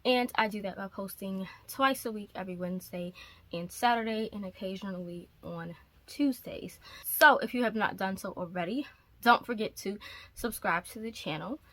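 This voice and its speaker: A young woman hosting her faith vlog in a relaxed, rambling way, sounding nasal from a cold coming on. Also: mid-range voice, moderate pace, dry recording. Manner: relaxed, rambling, many ums. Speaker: YouTube ministry host. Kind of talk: casual chatty vlog.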